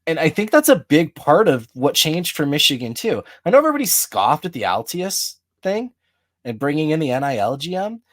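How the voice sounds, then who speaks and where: A man co-hosting a casual sports podcast, making a counterpoint with steady reasoning. His delivery is even and conversational, and he builds his argument point by point. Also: fairly high pitch, brisk. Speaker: podcast co-host.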